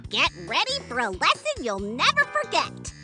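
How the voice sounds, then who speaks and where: A woman's voice: high-pitched, huge pitch swings, moderate pace. A woman voicing a spry old granny in a children's cartoon, with a sing-song, melodramatic delivery. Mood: bold. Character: spry, doting old granny with hidden toughness. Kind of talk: children's cartoon fairy tale acting. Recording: loud music under the voice.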